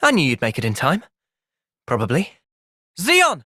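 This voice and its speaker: A man with an English accent playing an earnest, thoughtful young soldier hero in a video game, speaking sincerely and with measured delivery. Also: a little high, huge pitch swings, bright, forceful tone, no echo, studio quality, exaggerated voice. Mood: urgent, shouting. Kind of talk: video game cutscene voice acting.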